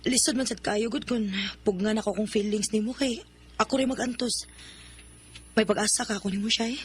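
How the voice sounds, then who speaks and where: A woman's voice: fairly high pitch, steady tone, moderate pace, a little hiss or hum, lightly acted. A woman playing a lovestruck young tomboy who earnestly pleads in a radio drama. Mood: pleading.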